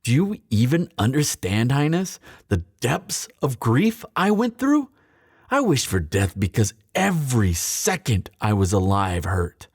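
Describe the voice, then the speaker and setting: Slow, sardonic, bitter thief acted by a man. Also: mid-range voice, sing-song, husky, no room sound, studio quality, full character voice. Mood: pained, bitter. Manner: sardonic, theatrical, deliberate pauses. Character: bitter, mocking young male thief hardened by grief. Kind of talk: dramatic fantasy audio roleplay, addressed to listener.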